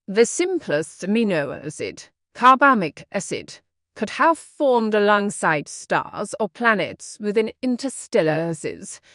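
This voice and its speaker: A woman's voice: medium pitch, no room sound, clean studio sound. A woman reading scripted academic passages aloud as exam practice.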